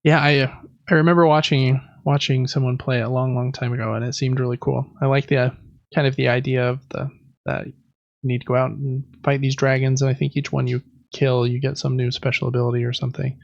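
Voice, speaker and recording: A man's voice: mid-range voice, level tone, fast talker, gravelly, no room sound, lo-fi sound. A man co-hosting a podcast, chatting casually about video games in an easygoing, tentative way. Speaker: podcast co-host.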